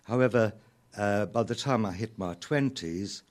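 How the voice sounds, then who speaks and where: Mature South African male interview guest, low voice, reflective and measured. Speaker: interview guest, author and lyricist. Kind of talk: reflective radio interview talk.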